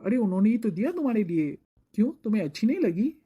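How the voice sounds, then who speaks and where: A woman's voice: medium pitch, sing-song, fast, warm tone, slightly rough. A woman voicing an earnest young bridegroom in a Hindi cartoon moral story.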